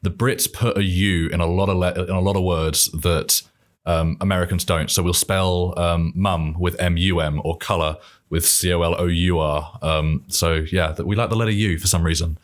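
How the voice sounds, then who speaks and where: British man with a very low voice, relaxed and joking, lots of ums, casual guest. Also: moderate pace. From United Kingdom. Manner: easygoing, joking, frequent ums. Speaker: podcast guest, airman. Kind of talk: casual interview chat.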